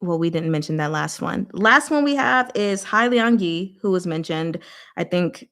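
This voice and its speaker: Casual, slightly low-voiced woman hosting a livestream. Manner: animated, informal, playfully exasperated. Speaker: host of a book discussion livestream. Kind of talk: casual live chat with viewers.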